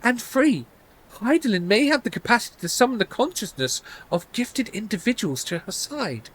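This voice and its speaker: A man's voice: high-pitched, sing-song, normal speed, a bit bright, slightly rough, pitched above the performer's own voice, heavily acted, poor audio. A man voicing an earnest scholarly researcher from a fantasy game, formal and precise as theories are laid out. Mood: earnest, explanatory.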